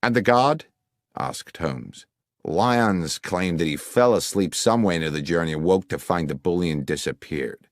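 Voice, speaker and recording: A man's voice: low voice, normal speed, dry recording. A man reading a scripted detective audiobook as its narrator.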